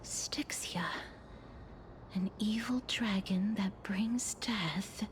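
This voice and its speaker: Gentle, solemn young priestess, very unhurried and soft, polite; fantasy game dialogue with clear background noise. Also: female voice, medium pitch. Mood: composed, concerned. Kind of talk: video game story dialogue.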